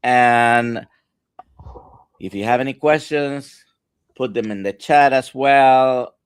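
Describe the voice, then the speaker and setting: A man's voice: mid-range voice, clear, resonant tone, no room sound. A doctor from Central Florida hosts a morning livestream in an easygoing way, greeting viewers and inviting his guest to speak. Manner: easygoing, unhurried host.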